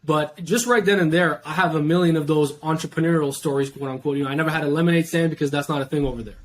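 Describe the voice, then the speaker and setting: Fast-talking young man, steady and reflective. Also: a little high. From Iran. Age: young adult. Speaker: guest. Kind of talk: casual interview talk.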